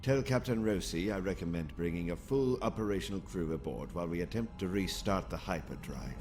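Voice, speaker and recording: Sci-fi officer male actor: low, measured, formal, calm authority, music underneath. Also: lightly acted. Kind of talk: sci-fi audio comic dub. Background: prominent music.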